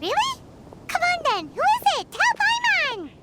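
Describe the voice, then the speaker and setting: A woman's voice: very high-pitched, huge pitch swings, a little slow, heavy background noise, heavily acted. A woman voicing a tiny, childlike fairy companion in an anime-style video game, chatty, dramatic and calling herself by name. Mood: excited, surprised. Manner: refers to self by name in third person.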